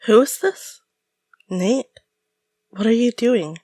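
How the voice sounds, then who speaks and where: A woman's voice: on the high side, expressive, slow pace, warm tone, no room sound. A woman playing a guarded, irritated ex-accomplice who wants out, curt and suspicious, in an audio roleplay. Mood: wary, irritated.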